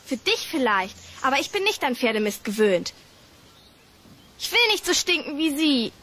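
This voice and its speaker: A woman's voice: high-pitched, sing-song, normal speed, fairly bright tone. An actress playing a vain, snooty young film star girl, haughty and whiny, in a children's drama. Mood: disgusted.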